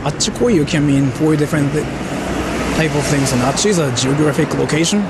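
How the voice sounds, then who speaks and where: A man's voice: on the high side, brisk. A man from Japan chatting casually on a walking livestream, explaining things in an informal, repetitive way. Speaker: live streamer. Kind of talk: casual livestream chat while walking and eating. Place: outdoors on a city street. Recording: noisy outdoor street with traffic ambience.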